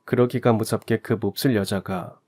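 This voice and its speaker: A man's voice: lowish voice, steady tone, moderate pace, a little mellow, faint music behind. A man reading a first-person story aloud in an earnest, confiding storytelling manner. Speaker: story narrator. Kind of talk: scripted first-person narration.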